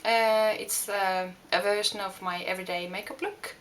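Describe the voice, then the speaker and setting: Young woman, Norwegian accent, hesitant makeup tutorial talk, medium pitch, faint noise behind. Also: fairly even intonation, normal speed, a bit bright, poor audio. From Norway. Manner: hesitant, many fillers and restarts. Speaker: beauty vlogger. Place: home room.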